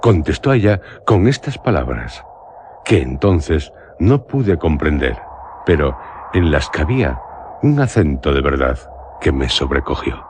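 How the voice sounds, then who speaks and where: An actor playing a melancholic traveller narrating a literary radio drama, solemn and measured, with a Castilian accent. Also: male voice, a bass voice, sing-song, noisy background, heavily acted. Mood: grave, suspenseful. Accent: Castilian Spanish. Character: solemn, melancholic male traveller recounting an eerie journey.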